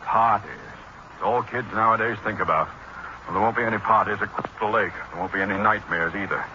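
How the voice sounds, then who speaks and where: An actor playing an irritable middle-aged American school principal, pompous and formal, in 1940s radio comedy style. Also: male voice, on the low side, fairly quick, bright, forceful tone. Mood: nervous, grumbling. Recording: noisy, muffled 1940s radio broadcast recording.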